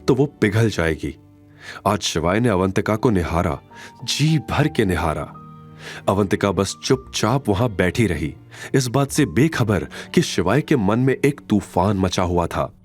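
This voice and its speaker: A man's voice: on the low side, a little mellow. A man narrating a scripted audio drama in a dramatic storytelling style. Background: background music.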